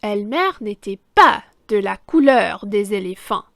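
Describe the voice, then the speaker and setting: A woman reading a children's picture book aloud, deliberate and theatrical, stressing key words. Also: medium pitch, expressive, creaky, no echo, studio quality. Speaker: children's story reader, French teacher.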